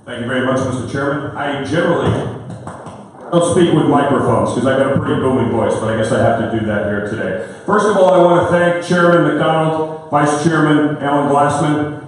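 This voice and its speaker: A man giving an acceptance speech as a candidate for party chair, direct and folksy. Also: medium pitch. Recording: echoey hall, distant mic.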